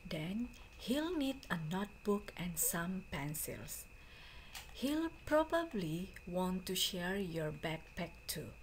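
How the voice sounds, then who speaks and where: A woman teacher reading a storybook aloud to students in a deliberate, phrase-by-phrase way. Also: fairly low pitch, big pitch swings, a little hiss or hum. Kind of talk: slow storybook read-aloud for a class.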